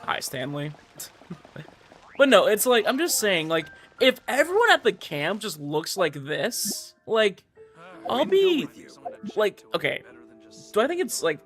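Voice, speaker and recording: A man streaming a game and chatting casually, rambling and excitable, repeating himself for emphasis. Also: high voice, measured pace, long pauses. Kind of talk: casual livestream chat about a game. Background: faint music.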